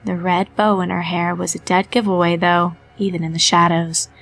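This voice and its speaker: A woman's voice: lowish voice, level tone, gravelly, fairly bright tone, faint music behind. Woman reading a horror story. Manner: measured, storytelling. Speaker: narrator.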